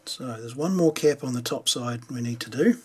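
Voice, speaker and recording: A man's voice: medium pitch, moderate pace, a little mellow, slightly rough. A man giving casual hands-on repair commentary, thinking aloud as he works. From New Zealand. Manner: unscripted, thinking aloud while working. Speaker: hobbyist radio repairer presenting a how-to video.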